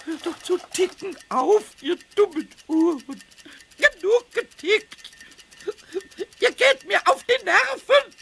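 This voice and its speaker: A cheeky, childlike little kobold from a children's radio play. The voice is shrill and very high, leaping up and down in pitch. He is impatient and excitable, tripping over and repeating his words. There is clear background noise on the recording. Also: exaggerated voice. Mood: frantic, angry. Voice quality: squeaky, shrill.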